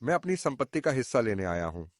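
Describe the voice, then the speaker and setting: A lazy, entitled eldest son, played by a man in a cartoon fable. He is mid-pitched and recorded dry, with a self-assured, demanding way of talking. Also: very animated, fast talker, dry recording, close to an everyday voice. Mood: insistent.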